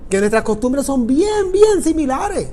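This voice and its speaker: A man's voice: very high-pitched, big pitch swings, measured pace, warm tone, faint music behind. A man with a Puerto Rican Spanish accent, a pastor preaching a sermon in an earnest, repetitive way that speaks directly to listeners. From Puerto Rico. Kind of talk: sermon on prayer.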